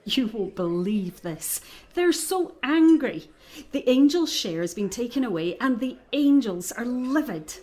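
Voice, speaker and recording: A woman acting in an audio drama as a troubled, sensitive island woman, earnest and expressive, with a Scottish accent. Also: a little high, unhurried. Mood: urgent, incredulous.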